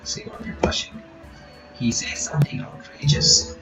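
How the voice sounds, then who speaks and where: A man reading a visual novel aloud as a reaction host, stumbling at times and breaking in with quick asides. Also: reverberant. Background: game music.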